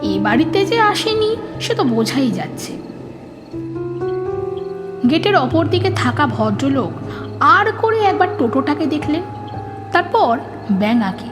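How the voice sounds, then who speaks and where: A woman narrator reading a scripted horror story in an expressive, suspenseful, dramatic storytelling manner. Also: high-pitched, unhurried, long pauses, music in the background.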